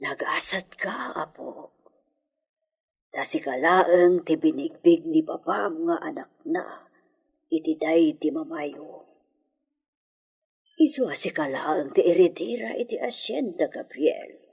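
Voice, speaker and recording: A woman playing an elderly, confiding grandmother in a radio drama, with an Ilocano accent and a breathy, husky, measured delivery. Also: deep voice, darkish tone, exaggerated voice. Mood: confiding, grave.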